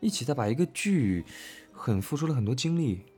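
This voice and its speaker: A male voice actor talking casually and reflectively about his work, earnest and halting, often repeating his phrases. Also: mid-range voice, sing-song, mellow, husky, soft background music. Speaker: voice actor discussing an audio drama. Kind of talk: casual, reflective cast chat.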